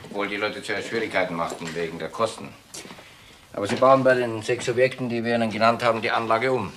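A man's voice: lowish voice, fast talker, close to an everyday voice. A man acting a sober, dutiful police officer giving matter-of-fact reports in a crime radio drama. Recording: clearly noisy audio with clicks.

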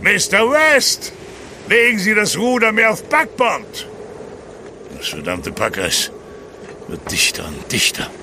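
An actor playing a gruff, driven, world-weary ship's captain in a radio drama. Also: male voice, high-pitched, big pitch swings, slow, long pauses, background noise, full character voice. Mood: irritated, commanding.

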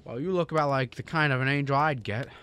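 A man's voice: medium pitch, fast, fairly bright tone, smooth, lightly acted. A man playing a despairing, skeptical everyman in a radio drama, wry and stammering. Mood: bitter, sardonic. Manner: stammering, sardonic, incredulous.

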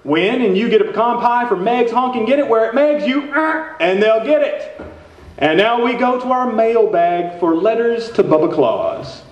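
A man's voice: very high-pitched, animated, moderate pace. An older man playing a folksy small-town radio station host in a live radio play, with showy announcer patter. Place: theater stage with stand microphone. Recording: echoey stage hall, dull sound.

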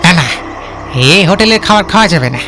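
A man's voice: high voice, animated, brisk, music in the background, low-quality recording. A man voicing a helpful, nervous village van driver in a cartoon, deferential and hesitant, repeating his words. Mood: nervous, pleading. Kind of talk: cartoon voice acting.

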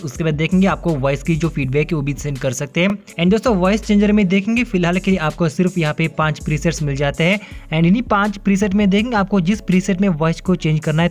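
A man narrating a hands-on phone feature walkthrough in an upbeat way, mixing English words into his Hindi. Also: fairly high pitch. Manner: upbeat, repeats filler phrases, mixes English words into Hindi. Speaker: tech reviewer. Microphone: off-camera voice-over over hands-on phone footage.

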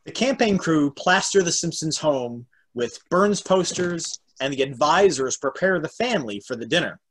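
A man with a husky voice reading stage directions as narrator of an amateur table read. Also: a little high, lively intonation, moderate pace, a bit bright, slight background noise. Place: home room, on a video call.

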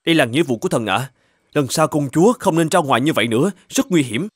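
A man's voice: a little high, animated, quick pace, slightly rough, no room sound. A man playing a devoted, dutiful young knight, speaking earnestly and respectfully in a children's cartoon. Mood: protective, respectful. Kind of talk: dubbed children's cartoon acting.

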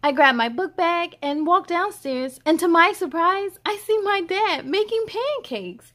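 A woman voicing an excited, wide-eyed first-grade boy telling a storybook tale with an expressive delivery. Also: very high-pitched, unhurried, heavily acted. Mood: wondering. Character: excited young first-grade boy narrating his bus ride.

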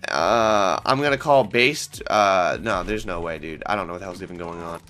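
A man's voice: deep voice, moderate pace, sharp tone. Congested young man ranting over gameplay. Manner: rambling, swears often, frustrated outbursts. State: congested from a cold. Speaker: gaming channel host. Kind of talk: casual gameplay commentary. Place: small home room. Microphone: headset.

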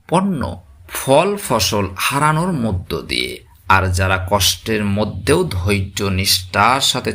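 Male religious storyteller. Gravelly tone, widely swinging intonation, solemn scripted preaching. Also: on the low side, fairly bright tone. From West Bengal, India. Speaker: narrator.

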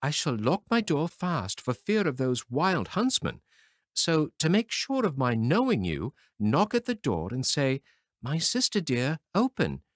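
A man doing a high anxious little girl. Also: huge pitch swings, measured pace, no room sound, clean studio sound. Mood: tender. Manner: pleading, lilting. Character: young girl, gentle and anxious. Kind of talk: storybook reading.

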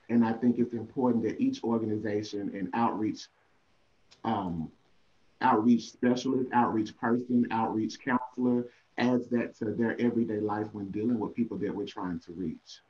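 A man on a panel explaining a prevention program over a video call, earnest and hesitant, with many fillers. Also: on the low side. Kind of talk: casual panel answer. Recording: dull lo-fi video call audio.

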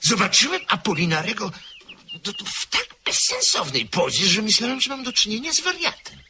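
A jittery nervous gentleman. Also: high voice, wildly swooping pitch, brisk. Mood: anxious. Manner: stammering, anxious, breathless retelling. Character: nervous short-sighted gentleman, jittery and fussy. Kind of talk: radio drama acting. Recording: old radio play with faint hiss.

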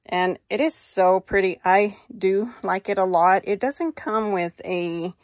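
A woman's voice: mid-range voice, unhurried, no echo, studio quality. A middle-aged woman with an American accent, chatting casually to viewers as a fashion vlogger in an unscripted way. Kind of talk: casual haul and try-on chat. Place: small indoor walk-in closet. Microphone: handheld phone.

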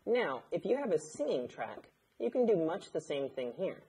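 A man, narrating a scripted tutorial in a measured, instructional way. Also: fairly high pitch, very animated, dark tone, slight background noise. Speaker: audio engineer, instructor. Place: indoor studio, seated at a desk.

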